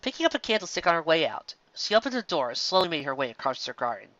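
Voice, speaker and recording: Male amateur storyteller, higher-pitched, forceful, scripted fantasy narration, dry muffled recording. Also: big pitch swings, quick pace, no room sound. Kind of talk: amateur fan fiction reading, scripted narration.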